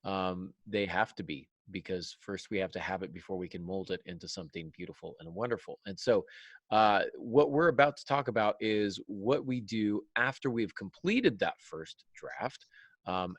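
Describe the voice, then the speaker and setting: Deep, creaky-voiced man hosting a podcast. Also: no room sound. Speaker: podcast host, writing teacher. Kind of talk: casual panel discussion.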